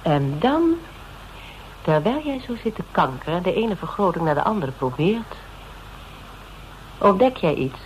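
Low-voiced, needling female interrogator. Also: sing-song, measured pace, pauses often. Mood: narrating, needling. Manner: needling, taunting, conversational. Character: shrewd, mocking female interrogator pressing a photographer. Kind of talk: radio drama acting. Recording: noisy radio drama recording.

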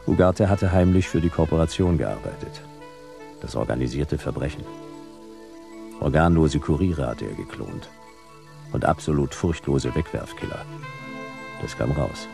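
An actor playing a hard-boiled detective narrating his case in a noir radio play, gravelly, in terse, clipped phrases. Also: lively intonation, unhurried, pauses often, full character voice. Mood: grim. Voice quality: very deep, gravelly. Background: music.

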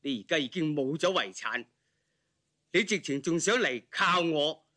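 A man's voice: on the high side, expressive, unhurried, pushed and bright. A man voicing an angry, domineering father turning away a suitor in declamatory, melodramatic radio drama style. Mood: angry, scornful. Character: angry, domineering father rejecting his daughter's penniless suitor.

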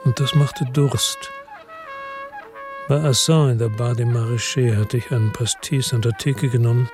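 An actor playing a world-weary police chief, narrating in a slow, measured, tired way in a radio drama. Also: male voice, mid-range voice, steady tone, music in the background, lightly acted. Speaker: first-person narrator.

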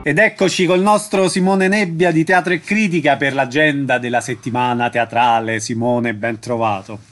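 A man's voice: medium pitch, steady tone, moderate pace, fairly bright tone, faint music behind. A man hosting a radio programme, casually introducing a segment. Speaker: radio host.

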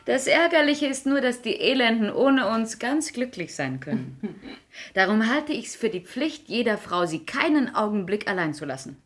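An actress playing a witty, cynical high-society lady in a 1960s radio play, teasing and ironic. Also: very animated, moderate pace. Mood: arch, mocking.

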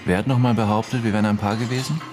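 A man's voice: fairly low pitch, fairly even intonation, rapid-fire, natural voice. A man playing a blunt, offhand witness being questioned in a naturalistic radio drama. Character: blunt male former acquaintance of a dead girl, witness. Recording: music behind the dialogue.

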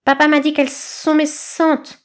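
Lady doing a tiny snake boy, hissy and drawn out, higher voice, naive storybook critter. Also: flat delivery. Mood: hurt. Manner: slow, drawn-out, hissing. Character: slow, naive little male baby snake, earnest.

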